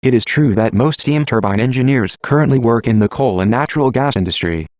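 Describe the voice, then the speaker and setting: A man playing a calm, polite, matter-of-fact AI assistant that explains things formally in an audio drama. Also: medium pitch, a little fast, no echo, clean studio sound, close to an everyday voice. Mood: calm, informative.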